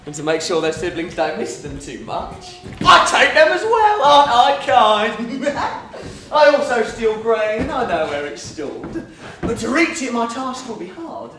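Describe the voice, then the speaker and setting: A man's voice: very high voice, big pitch swings, measured pace, slight background noise, full character voice. A man playing a devious, boastful old crone, a gleefully wicked, hectoring pantomime villainess on stage. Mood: gleefully scheming. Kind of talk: theatrical pantomime rhyme and song. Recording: roomy stage sound with loud music.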